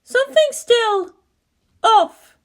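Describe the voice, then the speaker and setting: A woman's voice: very high voice, animated, extremely slow, pitched above the performer's own voice, exaggerated voice. A woman voicing an anxious female military captain in a story, worried, informal and emphatic. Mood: uneasy, puzzled.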